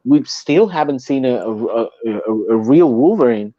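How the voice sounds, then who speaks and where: Casting: Puerto Rican man, mid-pitch, halting repeats, slightly muffled dry recording. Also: no room sound. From Puerto Rico. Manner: repeats and restarts words, animated when making a point. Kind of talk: casual podcast chat.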